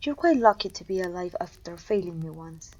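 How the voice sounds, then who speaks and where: A woman playing a haughty, menacing evil sorceress queen in an ASMR roleplay, pompous and commanding, with a breathy voice. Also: fairly low pitch, expressive, darkish tone. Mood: threatening. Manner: pompous, commanding, deliberate condescension. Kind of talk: ASMR roleplay voice acting addressed to listener.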